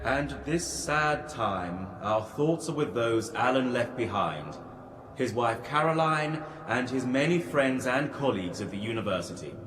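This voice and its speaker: Funeral clergyman: male, medium pitch, solemn, measured, formal, reverberant church echo. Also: lively intonation, fairly bright tone, slight background noise. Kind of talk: solemn funeral address in radio drama. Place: large church interior. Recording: echoey, reverberant church hall.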